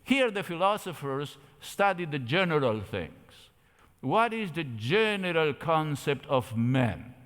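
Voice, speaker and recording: A man's voice: a little high, sing-song, takes it slowly, a bit bright. An older man from Argentina with an Argentine Spanish accent, giving a didactic yet conversational theology lecture with rhetorical questions. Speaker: theology lecturer at a church camp meeting. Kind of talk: lecture with slides. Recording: echoey hall with long reverb.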